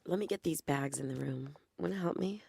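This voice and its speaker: A woman's voice: sits low, a little fast, creaky, a little mellow, a little hiss or hum. An actress playing a troubled, guarded young woman in a noir audio drama, speaking in a cool, wry way. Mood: soft. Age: young adult.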